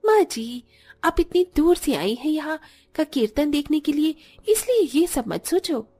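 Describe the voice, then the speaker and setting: A woman's voice: high-pitched, expressive, measured pace, a little mellow, soft background music. A woman voicing a young, devoted daughter-in-law in a moral story, polite, eager to help and reassuring. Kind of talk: scripted Hindi moral story voice acting.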